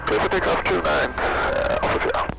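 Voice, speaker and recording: A man's voice: on the high side, moderate pace, creaky, low-quality recording. A man from Brazil with a Brazilian accent, a pilot instructing and briefing in a small plane over a headset. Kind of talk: in-flight briefing and coaching. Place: small aerobatic plane cockpit. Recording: cockpit radio audio under loud music.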